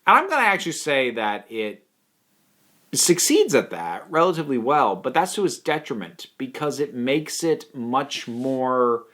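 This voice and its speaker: A man's voice: medium pitch, sing-song, fairly bright tone. Man, mid-range voice, casual review commentary, opinionated, emphatic delivery. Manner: emphatic, deliberate stress on key words.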